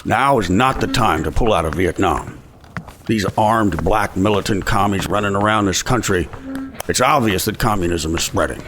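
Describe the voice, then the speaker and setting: Low-voiced American president. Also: male voice, expressive, normal speed, a bit bright, a little hiss or hum. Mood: bitter, scornful. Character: gruff paranoid American president, middle-aged, privately bitter. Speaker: actor. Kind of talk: audio drama acting.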